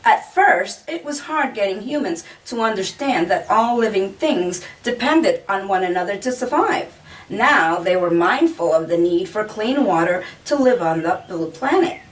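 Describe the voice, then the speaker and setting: A woman reading a children's story aloud in a deliberate storytelling manner, pausing to point out pictures. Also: medium pitch, expressive. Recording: distant mic, faint background noise.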